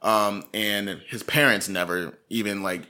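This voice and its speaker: A man's voice: lowish voice, steady tone, a little slow, sharp tone. A man co-hosting a casual true-crime podcast, talking in a chatty, rambling way with plenty of fillers. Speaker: podcast co-host.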